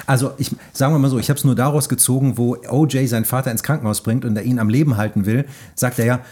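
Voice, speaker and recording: A man's voice: medium pitch, fairly even intonation, fast, warm tone, slightly rough. A man hosting a podcast, chatting casually about films and running a quiz. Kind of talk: casual film chat.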